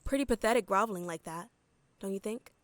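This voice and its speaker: A woman's voice: medium pitch, a little fast, no echo, close to an everyday voice. A woman playing a cold, contemptuous captor in an audio drama, scornful and controlled. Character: cold, contemptuous woman guarding a hated captive.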